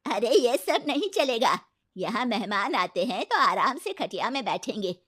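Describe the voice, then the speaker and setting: A woman's voice: a little high, sing-song, normal speed, a bit bright. A woman voicing a stern, old-fashioned older mother-in-law in a story, with an emphatic, commanding manner. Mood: scolding. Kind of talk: animated Hindi moral story dialogue.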